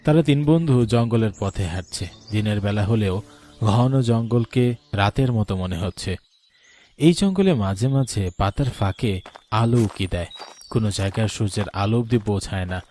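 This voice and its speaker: A man's voice: on the low side, lively intonation, moderate pace, mellow, soft background music. A man narrating a scripted cartoon story in a dramatic storytelling style.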